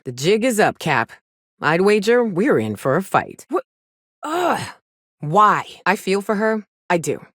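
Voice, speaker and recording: Female space gunslinger, lowish voice, animated intonation, sardonic, folksy drawl, salty, video game companion lines. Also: no room sound, clean studio sound. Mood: somber, subdued. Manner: wry, drawling, folksy swearing.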